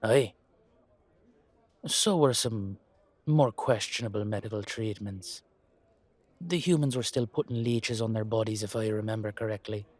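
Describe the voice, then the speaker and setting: A man's voice: on the low side, measured pace, long pauses. A man voicing a wry, blunt leprechaun with an Irish accent, dry and colloquial, with a hint of menace. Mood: dry amusement.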